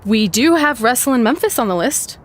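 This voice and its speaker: A woman's voice: on the high side, lively intonation, brisk, lightly acted. A woman playing a bold, sarcastic, ambitious young wrestler in a video game cutscene, with a wry, confident delivery. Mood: wry banter. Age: young adult.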